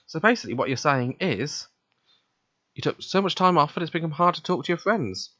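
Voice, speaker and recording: A man's voice: a little high, expressive, fairly quick, pushed and bright. A man reads visual novel narration and dialogue aloud for a let's play. His delivery is casual and conversational. The recording is a little dull and lo-fi. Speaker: let's play reader voicing the protagonist.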